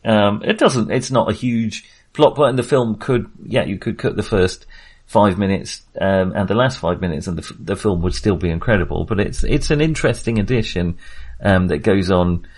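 Man, low pitch, podcast host, casual film chat, hesitant rambling delivery. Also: moderate pace, darkish tone, breathy edge.

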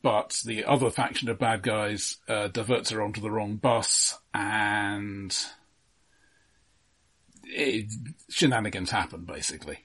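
Bloke on a film podcast, bit raspy, understated and dry, casual recap style. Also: male voice, lowish voice, unhurried, fairly bright tone. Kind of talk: casual plot summary and commentary.

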